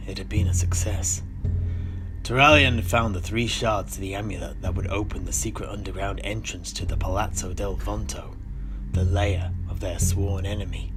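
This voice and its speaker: Male narrator, low register, for a scripted dramatic fantasy campaign tale. Music bed underneath. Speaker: storyteller, narrator of a game campaign. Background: instrumental music.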